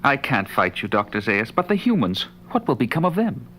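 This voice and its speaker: An actor playing a mild, earnest, hesitant ape archaeologist in a record audio drama, in long reverb. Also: male voice, mid-range voice, big pitch swings, brisk, a little hiss or hum. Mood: pleading. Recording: long reverb, loud music behind.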